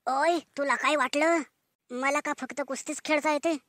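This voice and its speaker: A man's voice: very high voice, fairly even intonation, normal speed, sharp tone, dry recording, studio quality. A man voicing a brash, boastful male cartoon contestant with a blustering, colloquial manner. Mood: indignant, boastful. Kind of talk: comic cartoon acting.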